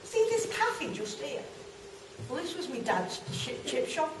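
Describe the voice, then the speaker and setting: A woman acts out a chatty working-class woman with a northern English accent, reminiscing fondly in a stage monologue. Her pitch sits fairly high and she keeps putting questions to her listeners. She is heard from a distance in a roomy, noisy space. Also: sing-song, a little slow. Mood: fond, excited. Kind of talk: amateur stage monologue addressed to the audience. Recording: roomy, distant mic, noisy live hall.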